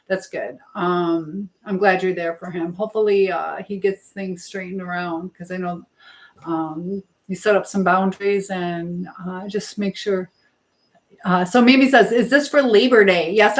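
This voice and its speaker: A woman's voice: mid-range voice, a little hiss or hum. A woman hosting a casual live stream, rambling between her own chat and replies to viewer comments. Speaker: live stream host and life coach.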